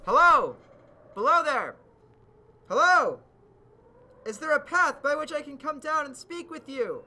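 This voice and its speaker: An actor playing a curious, well-mannered gentleman writer of the 1860s in an audio drama, formal and inquisitive. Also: very high-pitched, animated, unhurried, full character voice. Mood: calling out. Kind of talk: audio drama acting, period dialogue.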